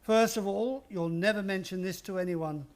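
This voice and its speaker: An actor playing a miserly, menacing older stepfather in a suspense radio play, speaking with deliberate mockery. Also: high-pitched. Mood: coldly taunting. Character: sinister, miserly, taunting wealthy stepfather, older man.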